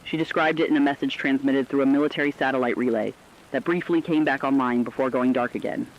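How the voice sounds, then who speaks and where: A man acting as a solemn radio host speaks in a medium-pitched voice over a slightly dull, lo-fi signal. He is earnest and narrates like a storyteller on a scripted disaster broadcast. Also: fast, close to an everyday voice. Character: solemn, earnest male host of a last surviving radio station.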